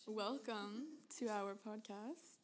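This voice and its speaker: An American teenage girl co-hosts a school podcast in a crowded classroom. Her voice sits at a mid pitch, and she talks in a loose, giggly way with plenty of restarts. Faint chatter and clicks run underneath. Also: slow pace, slight background noise. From United States. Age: teens. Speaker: podcast co-host. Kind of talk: casual chat.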